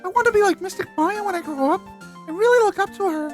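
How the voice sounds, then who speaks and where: A man voicing a polite, earnest little girl spirit medium for a video game, speaking in a refined, halting way. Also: very high-pitched, faint music behind, voice pushed up. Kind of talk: video game dialogue voice-over.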